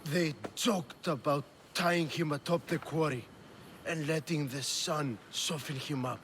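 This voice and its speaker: A hurt bitter greek sailor, talks slow n weak, game scene, bit of ambient noise. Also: male voice, fairly high pitch, a bit bright. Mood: pained, bitter. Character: wounded, bitter Greek sailor, sole survivor of ambush. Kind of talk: dramatic video game cutscene acting.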